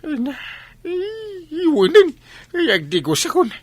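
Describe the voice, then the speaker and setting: A man's voice: extremely high pitch, wildly swooping pitch, unhurried, dry recording, full character voice. A man acting a sheepish, henpecked village captain in an Ilocano comedy radio drama, stammering and hesitant as he makes excuses.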